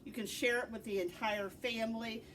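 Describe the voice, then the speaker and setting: A woman's voice: on the high side, steady tone, moderate pace, fairly bright tone. American woman recommending books, noisy recording. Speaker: librarian host. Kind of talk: informal book recommendation talk to camera.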